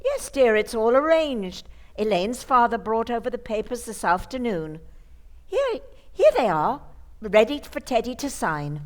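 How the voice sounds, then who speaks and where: A woman's voice: a little high, big pitch swings, measured pace. A woman playing a sweet, dotty, unflappable old aunt in an old-time radio play. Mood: calmly unbothered.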